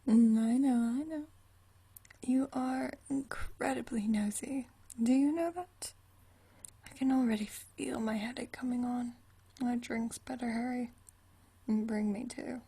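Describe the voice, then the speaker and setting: A woman performs an ageless vampire lady with a soft, mellow voice pitched a little high. She speaks in a very husky, whispery hush, as in an intimate ASMR roleplay. Her manner is languid and genteel, full of dry, teasing wit. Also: steady tone, a little slow, full character voice. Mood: mock exasperated. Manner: teasing, dryly witty, languid, genteel turns of phrase. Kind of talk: ASMR roleplay, intimate one-sided conversation.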